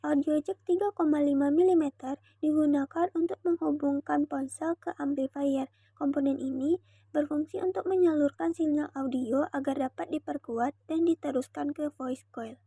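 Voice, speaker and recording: Young woman, student presenter; high, very dark-soft timbre, formal scripted explainer delivery, dry recording. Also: moderate pace, dry recording. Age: young adult.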